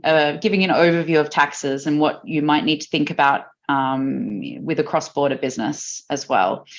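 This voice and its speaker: Australian woman hosting a webinar. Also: sits low. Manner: friendly, chatty, with fillers. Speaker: webinar host. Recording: dull lo-fi video call audio.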